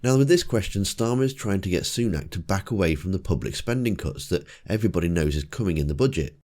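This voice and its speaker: Hoarse guy with a cold, low voice that swings around a lot, dry little jabs. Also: lively intonation, fast talker, a little mellow. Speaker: political commentator. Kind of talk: casual commentary over clips.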